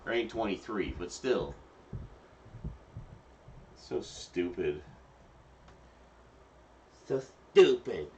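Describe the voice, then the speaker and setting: Low-voiced man chatting in his kitchen. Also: very slow, long pauses, distant mic. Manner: relaxed and rambling, full of fillers, chatting to viewers. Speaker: streamer cooking and cleaning. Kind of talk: casual live-stream chat. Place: home kitchen.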